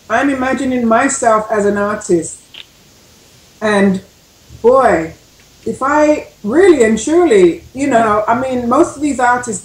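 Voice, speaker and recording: A woman with a legal background, talking casually as a panelist in a discussion. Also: a little high. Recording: roomy, echoing sound.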